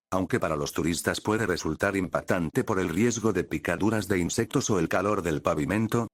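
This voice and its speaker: A man reading scripted documentary-style narration for an explainer video in a measured, formal, expository manner. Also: low voice, dry recording, studio quality. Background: background music.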